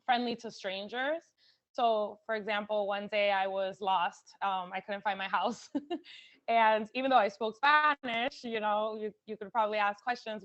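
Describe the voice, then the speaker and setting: A woman's voice: medium pitch, a bit bright, lo-fi sound. A woman telling a story as a panelist, chatty and giggly with many fillers.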